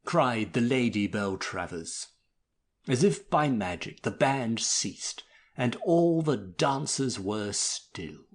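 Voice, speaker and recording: Man, story narrator, very lively pitch movement, husky, expressive, theatrical, mock-melodramatic. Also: slow.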